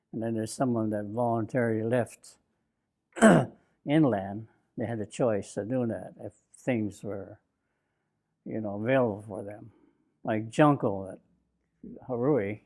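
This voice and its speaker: A man in his nineties from Bainbridge Island, Washington, telling his own memories in an oral history interview, slow and reflective, halting with fillers and restarts. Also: mid-range voice, a little mellow. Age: 90s. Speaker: interviewee, WWII-era Japanese American. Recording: interview recording.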